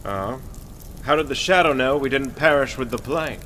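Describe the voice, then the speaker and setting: A forceful, hard-edged crime boss in a vintage radio play, acted by a man. He is domineering and scornful, with a medium-pitched voice over faint noise. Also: animated, moderate pace. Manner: domineering, sneering, melodramatic. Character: Burkett, ruthless, scornful male criminal boss. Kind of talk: Golden Age radio drama acting.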